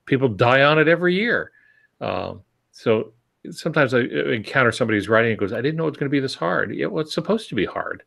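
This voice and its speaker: An older man who co-hosts a podcast, giving reflective writing advice in a measured, deliberate, emphatic way. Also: medium pitch, big pitch swings, gravelly, a bit bright. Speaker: podcast co-host and veteran writer.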